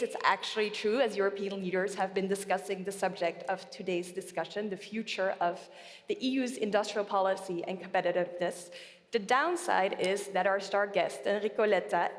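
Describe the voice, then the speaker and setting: Woman hosting in a reverberant hall. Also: mid-range voice. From Belgium. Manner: polished, welcoming, announcer-like. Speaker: event host and correspondent. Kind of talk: formal live event hosting. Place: large museum hall.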